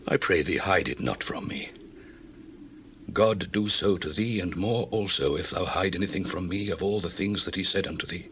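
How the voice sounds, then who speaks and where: An actor playing a very old blind priest in a dramatized scripture reading, slow, solemn and hushed. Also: male voice, low voice, slight background noise. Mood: solemn urging.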